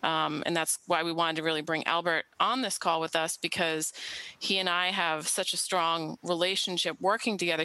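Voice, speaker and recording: A soft-spoken American woman talks casually as a guest, a little hesitant. Her voice is low for a woman yet bright and forceful. The sound is dry, with no room around it. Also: no echo, poor audio. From United States. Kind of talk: casual interview talk.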